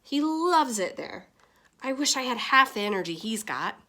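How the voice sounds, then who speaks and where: A woman's voice: fairly high pitch, big pitch swings, moderate pace, sharp tone. A woman reading as a weary, kindly out-of-work father in a storybook, plain-spoken and resigned. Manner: colloquial, resigned.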